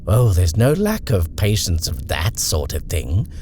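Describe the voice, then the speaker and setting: Brisk, bossy female housekeeper, put on by a male reader, clipped and barking, very lively delivery. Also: low voice, slight background noise, heavily acted. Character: brisk, brusque middle-aged female housekeeper-driver.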